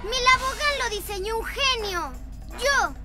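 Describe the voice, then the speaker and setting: A woman's voice: very high-pitched, lively intonation, unhurried, loud music behind the voice. Gloating boy genius villain. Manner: gloating, sneering, shouty. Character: boastful boy genius villain, mad scientist. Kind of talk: cartoon dub acting.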